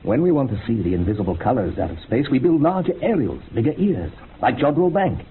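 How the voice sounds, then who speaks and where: An actor playing a cryptic, pedantic occult experimenter in a radio drama, explaining things in a declamatory way. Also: male voice, medium pitch, very animated, quick pace, lightly acted. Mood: ominous, knowing. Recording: noisy, phone-like old radio recording.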